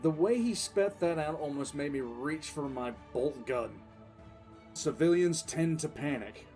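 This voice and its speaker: A man playing a weary, cool-headed military commissar, recounting his past in dramatic, deliberate first-person narration over faint music. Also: fairly high pitch, expressive, mellow. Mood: grave, detached. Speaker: narrator and lead voice actor. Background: faint background music.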